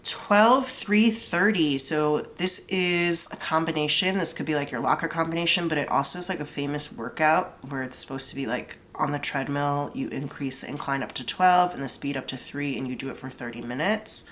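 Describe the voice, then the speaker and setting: A woman's voice: low-pitched, moderate pace, few pauses, fairly bright tone, a little hiss or hum. A young woman with a stuffed-up nose from a cold, chatting casually through a tarot reading and explaining each pull with lots of "like". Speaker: tarot reader.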